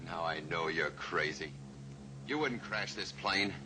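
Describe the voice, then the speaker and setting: A menacing hijacker, low-voiced. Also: male voice, unhurried, music in the background, low-quality recording. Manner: menacing, then panicking. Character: cornered male killer hijacking a plane. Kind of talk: 1950s radio drama acting. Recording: old radio recording with hiss.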